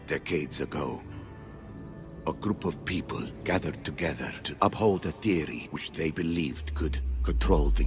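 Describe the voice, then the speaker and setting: Solemn ancient sage, bottomless pitch, unhurried portentous delivery, game cutscene, loud music behind. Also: male voice, exaggerated voice. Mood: grave. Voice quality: gravelly. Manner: slow, portentous, deliberate. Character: solemn ancient father, a grave mystical sage. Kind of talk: dramatic video game cutscene monologue.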